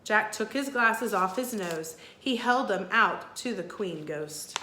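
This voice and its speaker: A woman teacher reading a children's story aloud deliberately and expressively, pausing to put questions to listeners. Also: medium pitch, animated, pushed and bright, roomy sound, a little hiss or hum.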